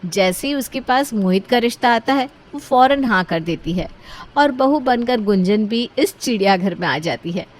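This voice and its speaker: Woman narrator, highish voice, scripted moral-story storytelling. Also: expressive, normal speed.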